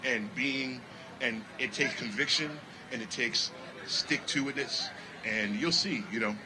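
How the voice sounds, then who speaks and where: A man from Connecticut, a jazz musician talking in a casual festival interview with animated, preachy energy. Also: mid-range voice, a little slow, noisy background. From Connecticut, United States. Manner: animated, preachy, repeats "you know what I mean".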